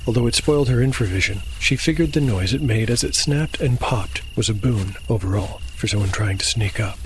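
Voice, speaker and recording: A man hosting and narrating a scripted dark fantasy tale in a measured, solemn storytelling manner. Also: lowish voice, slight background noise, low-quality recording. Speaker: host and narrator. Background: background music.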